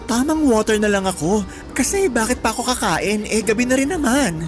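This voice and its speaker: A woman's voice: a little high, animated, moderate pace, lightly acted. A woman playing a bossy, caring older-sister friend in a Filipino radio drama, slangy and scolding, with a Filipino accent. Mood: sassy, nagging. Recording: music bed under the dialogue.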